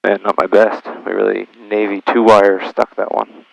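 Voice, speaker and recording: Low, gravelly man flying a plane. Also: level tone, moderate pace, no room sound, highs cut off. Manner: talks himself through checklists and procedures. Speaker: pilot. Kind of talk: vlog narration of his flying and radio calls. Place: small propeller plane cockpit in flight. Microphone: aviation headset. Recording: headset intercom in small plane cockpit.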